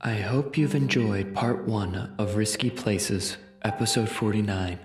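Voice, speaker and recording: A man reading the closing announcement of a radio drama in a slow, measured way. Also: lowish voice. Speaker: narrator reading credits. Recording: roomy, distant, with piano music.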